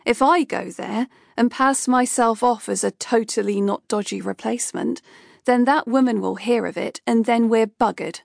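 A woman's voice: a little high, moderate pace, creaky, darkish tone, no echo, clean studio sound. A woman voicing Rupert, a crude old sorcerer. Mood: offhand. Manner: offhand, sweary, blunt. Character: man, hundreds-of-years-old sorcerer, flippant and crude.

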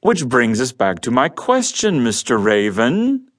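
An actor playing a huge, quietly menacing male crime boss in an audio drama, with a rumbly voice and measured, formal speech. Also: huge pitch swings, exaggerated voice. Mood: smug, matter-of-fact.

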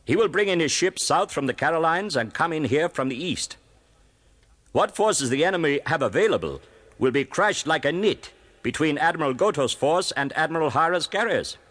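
Pompous, animated military commander. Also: male voice, a little high, normal speed. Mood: confident briefing. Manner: declamatory, pompous. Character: commanding Japanese naval officer, confident, arrogant, ambitious. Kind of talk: audio drama acting.

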